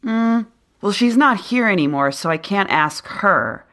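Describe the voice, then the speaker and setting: A podcast host with a low voice, talking earnestly and personally while interviewing a guest. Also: expressive, unhurried, pushed and bright. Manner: earnest, personal, shares own story. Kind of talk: interview conversation.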